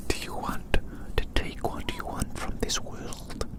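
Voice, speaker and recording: An ASMR performer whispering a rambling, theatrical roleplay monologue outdoors, softly repeating phrases in wordy language. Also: a little slow. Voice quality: soft unvoiced whisper. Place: outdoors in a large open field.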